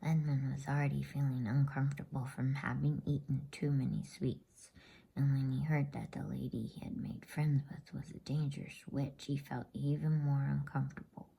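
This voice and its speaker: A woman whispers slowly and soothingly as she hosts and reads an ASMR bedtime story in a breathy, hushed voice. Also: very deep voice, little pitch movement, rough recording.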